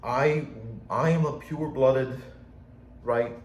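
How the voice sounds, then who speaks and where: A man's voice: medium pitch, steady tone, slow, darkish tone. A young man with a slight Polish accent, rambling frankly and swearing casually in a video journal. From Poland. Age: young adult. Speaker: vlogger. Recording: echoey room, faint ambience.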